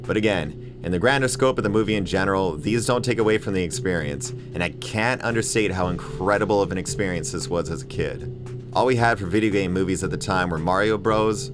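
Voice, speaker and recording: Male video review host, low register, wry and mock-exasperated film commentary over a clear music bed. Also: a little fast. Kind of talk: comedic movie commentary, semi-scripted.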